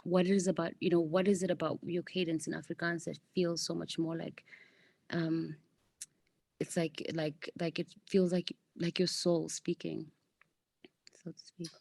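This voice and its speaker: A woman's voice: lowish voice, little pitch movement, normal speed, gravelly, a little mellow, no room sound. A woman from the audience asking a question in a hesitant way, groping for words. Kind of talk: casual question.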